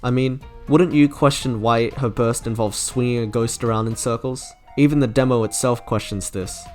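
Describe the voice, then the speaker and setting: Young male game reviewer, medium-pitched, scripted commentary over light background music. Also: fairly even intonation, normal speed, a little mellow. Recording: background music under narration.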